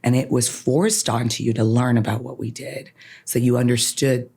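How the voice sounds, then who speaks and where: A woman's voice: extremely low pitch, flat delivery, moderate pace, creaky, dark tone, husky. Earnest woman attorney, says "right?" a lot. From Canada. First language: Farsi. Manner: earnest, emphatic, often ends points with "right?". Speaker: guest, attorney. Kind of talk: podcast interview, personal reflection.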